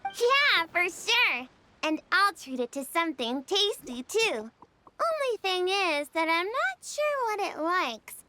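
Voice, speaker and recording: Tiny, very high-pitched cheerful creature. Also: female voice, big pitch swings, slow, pushed and bright, a little hiss or hum, exaggerated voice. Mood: excited, amazed. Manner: bubbly, childlike, earnest. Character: small cheerful female Melusine creature, excitable gem hunter. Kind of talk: English video game character acting. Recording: game music playing behind the voice.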